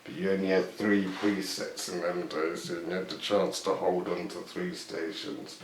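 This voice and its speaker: A man's voice: low voice, little pitch movement, normal speed, slight background noise. A man casually presenting a show-and-tell video, unscripted and tentative, often guessing as he goes. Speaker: video host showing vintage items. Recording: echoey room.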